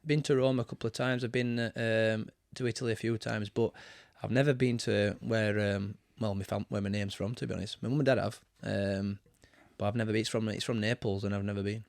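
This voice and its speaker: A man in his thirties with a Barnsley accent, a guest in a casual interview, talking in a relaxed, rambling way with many ums. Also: fairly low pitch, fairly quick, vocal fry, few pauses, no echo, clean studio sound. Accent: Barnsley, Yorkshire. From England. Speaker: guest, football manager. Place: cluttered home studio, indoors. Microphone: studio mic with headphones.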